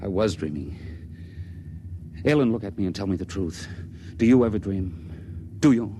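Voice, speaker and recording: An actor playing an earnest young ship attendant who speaks in hushed, urgent tones in a 1950s radio drama. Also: male voice, lowish voice, animated, normal speed, natural voice. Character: young male ship attendant, questioning and tender. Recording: faint hiss of an old radio broadcast.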